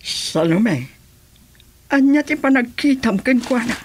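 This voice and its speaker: A woman's voice: fairly high pitch, lively intonation, takes it slowly, slight background noise. A woman with an Ilocano accent playing a bossy, brazen female rebel commander in a comedic radio drama. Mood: scheming.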